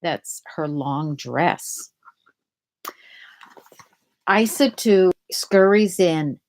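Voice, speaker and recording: A woman reads a children's storybook aloud at a slow, deliberate pace. Her voice sits at an average pitch, and she explains words and events simply for young listeners. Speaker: storybook reader. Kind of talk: read-aloud of a children's picture book with explanatory asides.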